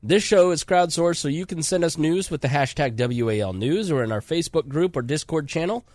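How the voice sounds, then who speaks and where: A man's voice: on the high side, lively intonation, brisk, a little mellow, no echo, studio quality. A man hosting a casual podcast, talking in a rambling, chatty way with his voice congested from a cold. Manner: rambling, self-interrupting, chatty. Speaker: podcast host.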